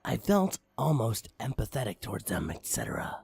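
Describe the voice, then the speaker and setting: A man's voice: lowish voice, wildly swooping pitch, normal speed, vocal fry, a little mellow, husky. A man giving a comedic, mock-dramatic creepypasta narration with crude, swooping emphasis. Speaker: narrator, online story reader.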